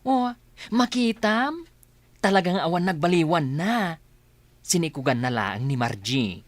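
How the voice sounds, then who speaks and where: Flamboyant, wildly swooping gossipy friend. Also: male voice, fairly high pitch, animated, unhurried, dry recording. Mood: teasing, encouraging. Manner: exclamatory, teasing, swooping delivery. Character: flamboyant, gossipy male best friend, excitable and nosy. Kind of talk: radio drama acting.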